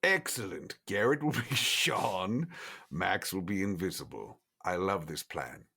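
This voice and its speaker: A man's voice: sits low, animated, unhurried, creaky, sharp tone, slight background noise, heavily acted. A man playing a genteel, droll old vampire schemer in a tabletop game, fussy and mock-polite with hesitant phrasing. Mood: gleefully sly.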